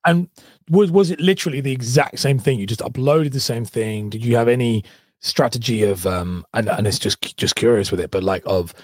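A man hosting a podcast, his voice hoarse and husky. He talks eagerly and loosely, restarting his questions as he goes. The sound is dry and close. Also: mid-range voice, a little fast, dry recording. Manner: enthusiastic, rambling questions with many restarts. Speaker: podcast host. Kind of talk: casual interview chat.

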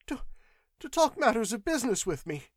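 A man voicing a cowardly, frightened clerk in an audiobook, shrill, pleading and stammering with nerves. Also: big pitch swings, moderate pace, no room sound, studio quality. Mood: nervous, evasive. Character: whimpering terrified clerk, a weak cowardly man.

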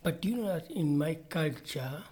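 A woman's voice: sits low, animated, normal speed, dark tone. A woman from Uganda hosting a casual interview, speaking hesitantly and searching for her words. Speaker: host.

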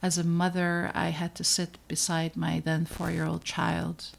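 A middle-aged woman from the Netherlands hosts her own podcast, speaking slowly and deliberately with a low-set voice. Her tone is earnest and reflective, and a gravelly fry runs through it as she lets pauses land. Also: monotone, slight background noise. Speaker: podcast host, pediatrician. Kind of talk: scripted personal podcast narration.

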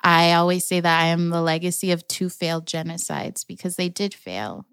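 A young adult woman from Los Angeles telling her family story in a slow, reflective way, with careful restarts. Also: fairly low pitch, fairly bright tone. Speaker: storyteller, artist and organizer. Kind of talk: personal storytelling.

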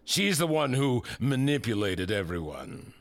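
A man playing a smug, manipulative disgraced senator in an audio drama, condescending, deliberate and insinuating. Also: medium pitch, sing-song, dry recording. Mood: bitter, insinuating.

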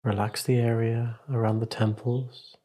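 A male meditation teacher guides a practice in a calm, measured voice. His delivery is even and nearly level in pitch, with a slightly husky grain. He leaves long pauses between short instructive phrases.

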